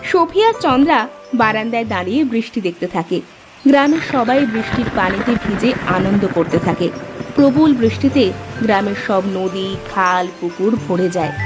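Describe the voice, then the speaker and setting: A woman storyteller reading a scripted children's tale in an expressive, measured way. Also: fairly high pitch.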